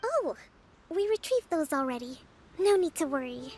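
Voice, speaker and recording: A woman playing a young, calm and gracious female priestess who leads an island, speaking in a soft, patient, composed way. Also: high-pitched, moderate pace, soft background music. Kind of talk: video game voice acting.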